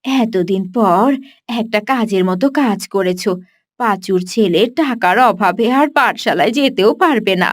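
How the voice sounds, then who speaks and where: A woman's voice: medium pitch, moderate pace, natural voice. A woman voicing a greedy, sneering village wife in a cartoon moral story. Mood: gloating. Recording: music clearly behind the voice.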